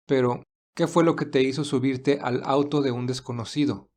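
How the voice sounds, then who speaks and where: A caring, protective man, played by a male actor in an audio romance. He speaks softly and with concern, gently questioning and reassuring. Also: medium pitch, steady tone, normal speed, close to an everyday voice.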